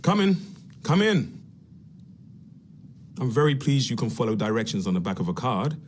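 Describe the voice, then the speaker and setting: An actor playing an urbane, dryly sardonic senior Templar officer in a video game, speaking formally. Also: male voice, medium pitch, sing-song, normal speed, natural voice. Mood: dryly welcoming. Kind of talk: scripted video game dialogue.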